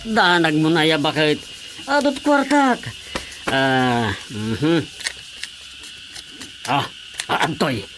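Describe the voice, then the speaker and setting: A man's voice: a little high, sing-song, slow, pauses often, music in the background. A man voicing a rowdy, boastful drunk in a radio drama, mumbling and slurring his words. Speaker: drunk man drinking with friends. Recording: noisy radio drama recording.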